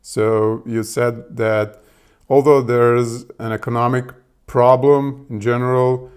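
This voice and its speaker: A man with a Serbian accent talks slowly and casually. His English is hesitant and full of fillers as he searches for words. His pitch sits in the middle of the male range. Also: flat delivery, a little mellow, clean tone. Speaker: video host testing an AI assistant. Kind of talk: casual chat.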